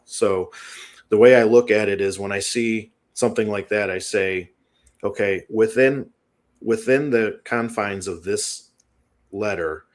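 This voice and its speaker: A man guest on an interview show, explaining a written analysis in a tentative, thinking-aloud way. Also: deep voice.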